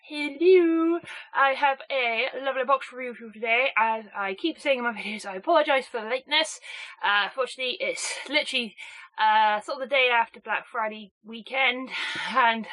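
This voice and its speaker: A woman with a snuffly cold, chatting excitably and rambling through an unboxing review. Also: fairly high pitch, moderate pace, rough recording. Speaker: anime box reviewer.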